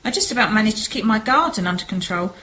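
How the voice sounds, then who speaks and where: A woman's voice: mid-range voice, expressive, fast talker, bright, forceful tone. A woman acting a polite, shrewd, inquisitive lady sleuth in an amateur radio play. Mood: polite, probing. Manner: polite, probing.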